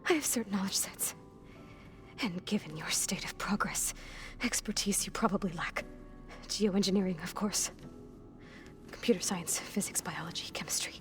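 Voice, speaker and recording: An actress playing an anxious young woman made on a ship, speaking in a halting, clinical way in a game cutscene. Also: medium pitch, in a whisper, soft background music, natural voice. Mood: subdued. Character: anxious young woman clone, fearful, skittish. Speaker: game character. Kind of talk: video game cutscene acting.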